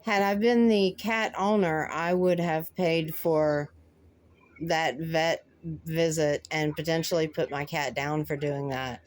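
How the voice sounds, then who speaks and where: A woman's voice: deep voice, fairly even intonation, takes it slowly. A woman confronting a neighbour in an argument on a phone call recording with faint noise.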